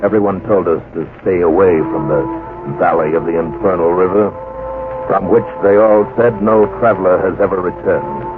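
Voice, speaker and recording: Man acting a deep, grave radio-drama narrator. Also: lightly acted. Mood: grave, foreboding. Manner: solemn, deliberate, literary. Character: grave male expedition surveyor recounting his own ordeal. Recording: muffled old radio recording, music underneath.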